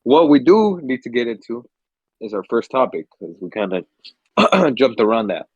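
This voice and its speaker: A man's voice: mid-range voice, big pitch swings, normal speed, slightly rough, no echo, muffled recording. A young man co-hosting a podcast, telling rambling stories in casual chat, swearing freely and a little stuffy from a cold. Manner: laid-back, swears freely, rambling storyteller. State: stuffy, under the weather. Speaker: podcast co-host.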